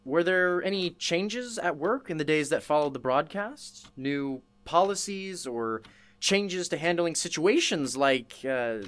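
An actress playing a curious, chatty interviewer in a radio play, with a casual, reactive way of asking questions. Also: female voice, low-pitched, lively intonation, unhurried. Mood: inquisitive.

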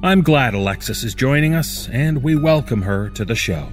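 A man hosting a horror podcast, reading a scripted introduction in a measured, deliberate way. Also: medium pitch, animated, soft background music. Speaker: podcast host.

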